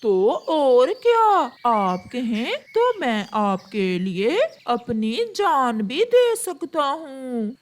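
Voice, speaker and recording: A woman voicing a lovestruck, scheming male crow in a cartoon story, with slow, pleading, melodramatic delivery. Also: a little high, very animated, slight background noise, exaggerated voice. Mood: earnest, pleading. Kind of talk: Hindi cartoon storybook acting.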